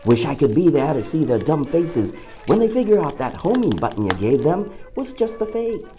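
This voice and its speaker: A man's voice: fairly high pitch, sing-song, normal speed, warm tone, faint music behind. A man playing a chummy, wisecracking henchman to an evil boss in an audio drama, with a folksy, casual manner. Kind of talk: audio drama character acting.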